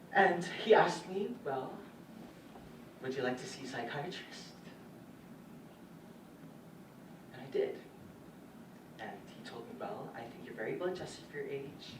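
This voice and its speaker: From across a roomy stage comes a vulnerable young dancer acted by a man, his voice on the high side. He speaks in a halting, candid theatrical monologue, stumbling nervously as he confesses. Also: fairly bright tone. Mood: pained, earnest. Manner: halting, hesitant, nervous stammers and restarts. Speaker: stage actor in a school or youth theatre production. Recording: roomy stage, distant mic, faint noise.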